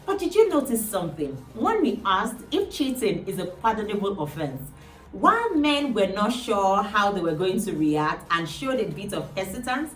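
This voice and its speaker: A woman presenting a talk show to the camera as its host. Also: mid-range voice. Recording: roomy, distant mic, music behind.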